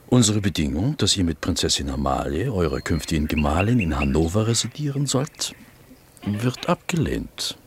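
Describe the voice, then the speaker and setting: An actor playing a sly, self-important Prussian court minister in a radio play, with formal, insinuating diction. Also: male voice, sits low, very animated, moderate pace, a little hiss or hum. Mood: confiding, insinuating. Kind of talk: German radio play acting.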